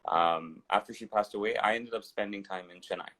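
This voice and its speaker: A man's voice: fairly low pitch, brisk, fairly bright tone. A man in his thirties, raised in Dubai and India, telling his life story as an interview guest with a digressive, restart-filled way of talking. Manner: digressive, rambling with frequent restarts.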